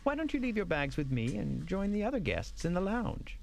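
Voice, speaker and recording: A suave, deferential hotel manager for an audio drama. His delivery is theatrical and extremely animated in pitch, with noticeable fry. Faint music plays behind him. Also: normal speed, heavily acted. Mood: smooth, reassuring. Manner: formal, courteous, theatrical.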